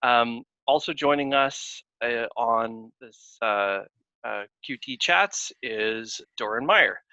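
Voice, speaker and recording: A man hosting a casual webinar over a video call, talking in a halting way with many fillers and restarts. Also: mid-range voice, bright, forceful tone, dry recording. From Canada. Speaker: webinar host, consulting firm founder. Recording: dull lo-fi video call audio.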